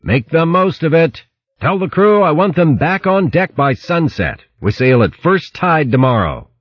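A man voicing a wary, commanding animal sea captain in a storybook, giving decisive, gruff seafaring orders. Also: low voice, big pitch swings, unhurried, dry recording, lo-fi sound, exaggerated voice.

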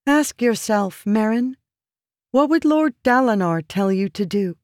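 A woman's voice: on the high side, lively intonation, measured pace, darkish tone, no echo, studio quality, voice pushed up. A woman voicing a pleading, calculating noblewoman in an audiobook, intense and feminine. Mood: intense challenge. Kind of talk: audiobook character dialogue.

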